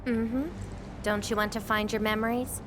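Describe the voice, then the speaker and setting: A woman's voice: a little high, little pitch movement, normal speed, noisy background, close to an everyday voice. Poised teasing fire-wielding young woman, voiced by an actress. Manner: poised, teasing, self-assured. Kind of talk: game dialogue. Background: game music.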